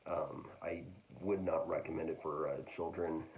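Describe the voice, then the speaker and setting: A man casually talking through a book haul, hesitant and full of fillers, his voice getting raspy. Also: very deep voice, fairly even intonation, normal speed, highs cut off. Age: adult. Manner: hesitant, full of ums and restarts. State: getting raspy, clearing throat. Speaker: book vlogger.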